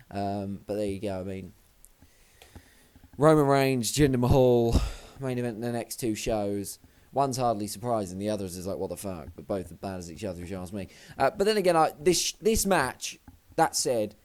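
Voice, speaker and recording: A young man, slightly hoarse, rambling and swearing casually as he previews a wrestling show. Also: fairly low pitch, a little mellow. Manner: rambling, sweary, self-deprecating. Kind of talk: casual vlog commentary.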